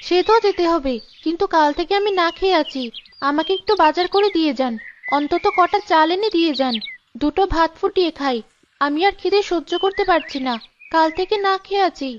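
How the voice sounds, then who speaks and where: Casting: actress as long-suffering cartoon newlywed wife, very high voice, pleading and lamenting, lo-fi sound. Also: female voice, a little fast, slight background noise. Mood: plaintive, pleading. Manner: plaintive, polite, lamenting. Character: long-suffering, hungry young bride, pleading and reproachful. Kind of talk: cartoon dialogue acting. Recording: dull lo-fi sound with music behind.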